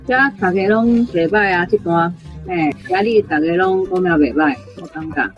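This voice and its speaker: A woman's voice: lowish voice, normal speed, lo-fi sound, faint music behind. A woman with a Taiwanese accent explaining word choices as a language teacher, in an informal, conversational way. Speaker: language teacher giving feedback. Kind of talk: explanatory teaching with casual commentary.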